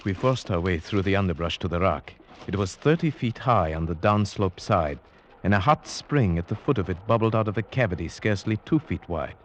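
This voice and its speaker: A man playing a cultured, brooding huntsman who narrates gravely and formally in an old radio drama. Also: deep voice, lively intonation, brisk, muffled recording, a little hiss or hum. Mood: grave narration. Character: cultured Slavic huntsman, brooding, at home in forests. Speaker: narrator and character. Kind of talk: 1940s-50s radio drama acting.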